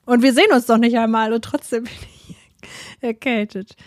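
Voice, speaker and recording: Woman, podcast co-host, has a cold, chatty, rambling, highish voice, dry close sound. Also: fairly even intonation, brisk, no room sound. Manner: chatty, rambling, unsure asides. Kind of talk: casual chat. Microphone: remote recording, separate from co-host.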